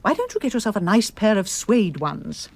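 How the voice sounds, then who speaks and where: A middle-aged English lady in a radio drama. She is brisk, bossy and inquisitive, teasing as she talks. Her pitch moves up and down in a very animated way. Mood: fussing, teasing.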